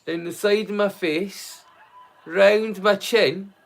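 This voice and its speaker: A man's voice: high voice, fairly even intonation, takes it slowly, gravelly. A man hosting a casual livestream, chatting to viewers in a rambling, stop-start way and repeating words mid-sentence. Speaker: livestream host.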